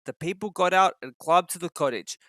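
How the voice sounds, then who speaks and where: Guy narrating a kids storybook, highish bright voice, very dry recording. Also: a little fast, no echo. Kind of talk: scripted children's story narration.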